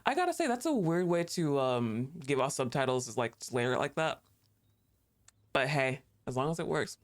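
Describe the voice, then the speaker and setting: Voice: medium pitch, sing-song, normal speed. A streamer chatting casually and thinking aloud while playing a game, laughing often and talking back at the screen. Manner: thinks aloud with fillers and restarts.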